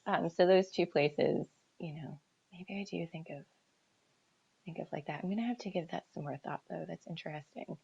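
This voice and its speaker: American woman, lowish creaky voice, halting and reflective, casual author Q&A, dull lo-fi livestream sound. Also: a little mellow. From New Hampshire seacoast, United States. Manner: halting, reflective, thinking aloud, trailing off. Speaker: author answering audience questions.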